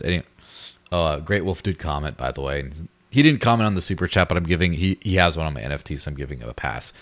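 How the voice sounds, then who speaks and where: Very deep-voiced joking host. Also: male voice. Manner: joking, rambling, laughs at own jokes. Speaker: podcast host. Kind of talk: casual chat.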